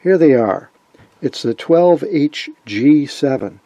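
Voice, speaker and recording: A man with a husky voice giving a casual, hands-on technical commentary, thinking aloud as he works. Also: a little high, animated, slow, mellow, no room sound, dull audio. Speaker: host of an electronics repair vlog.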